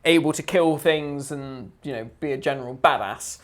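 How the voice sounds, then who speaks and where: Man, podcast co-host, chatty, animated, mid-pitched voice. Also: normal speed. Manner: animated retelling, acts out characters' lines. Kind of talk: casual chat.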